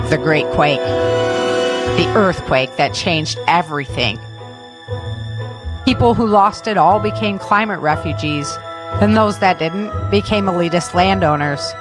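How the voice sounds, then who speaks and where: An actress playing a weary, resolute woman survivor, delivering scripted dramatic narration. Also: fairly low pitch. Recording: music behind the voice.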